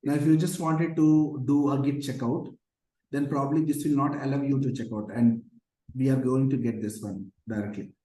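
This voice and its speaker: A hoarse man teaching a live online coding class, explaining patiently with many fillers like "okay" and "uh". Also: mid-range voice, fairly even intonation, moderate pace, mellow. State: hoarse from shouting. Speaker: programming instructor. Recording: roomy echo.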